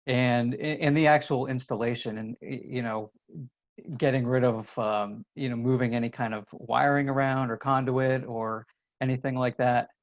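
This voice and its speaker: A man's voice: mid-range voice, dull audio. A man working as an interviewer, asking casual questions in a trade interview.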